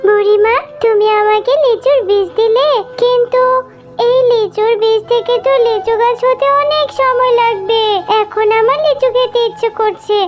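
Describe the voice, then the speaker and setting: A tiny, extremely high-voiced cartoon fox girl, innocent and eager. She chatters and pleads like a small child, with music clearly playing behind her. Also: steady tone, normal speed. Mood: curious, impatient. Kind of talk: Bengali children's cartoon voice acting.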